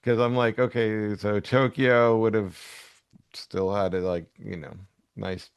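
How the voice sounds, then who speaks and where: A man with a fairly low voice talks slowly in a casual co-host chat. He thinks aloud in hesitant, short phrases, recorded dry with no room sound. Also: fairly even intonation, smooth, no echo, lo-fi sound. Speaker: podcast co-host. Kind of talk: casual chat, reacting to comics.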